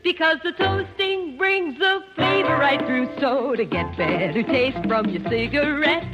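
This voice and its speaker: A woman's voice: high-pitched, lively intonation, measured pace. A woman singing a 1950s advertising jingle. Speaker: jingle singer. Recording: dull old recording with loud music.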